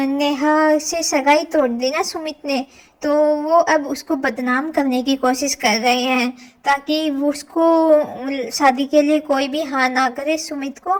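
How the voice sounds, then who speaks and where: A woman's voice: sits high, poor audio. A woman vlogger giving informal gossip commentary in an opinionated, insistent, questioning manner. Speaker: reaction vlogger.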